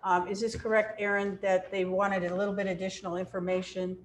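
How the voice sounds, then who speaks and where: A woman serving as mayor, chairing a village council meeting and calling the roll in a brisk, procedural way. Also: mid-range voice, flat delivery. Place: council chamber. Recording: echoey council chamber with faint noise.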